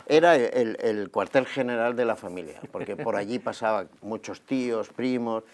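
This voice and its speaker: A man in his fifties from Barcelona, a guest telling his life story in a relaxed, conversational way, recorded on a lapel mic in a small TV studio. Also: lowish voice, moderate pace. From Barcelona, Spain. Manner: relaxed and conversational, gesturing as he talks.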